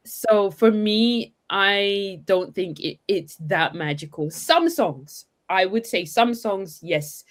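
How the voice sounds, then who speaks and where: A woman speaks at a very slow, easy pace in a fairly low voice. She talks as a teacher over a video call, laughing often. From Congo, raised in London. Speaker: ESL teacher, guest. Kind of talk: live webinar chat.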